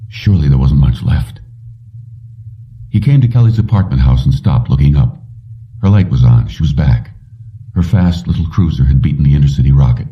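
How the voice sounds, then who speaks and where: A man with a very low voice narrates a story from a script. The sound is dull and muffled, as if he sits well away from the microphone. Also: far from the mic. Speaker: audiobook reader.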